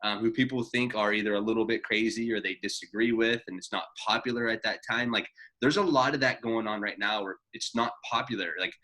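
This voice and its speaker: Man, late 20s, podcast co-host, fast, bright tone, rambling and profane. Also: lowish voice, steady tone, poor audio. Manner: rambling, profane, lots of fillers. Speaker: podcast co-host, gym owner. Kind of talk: casual chat.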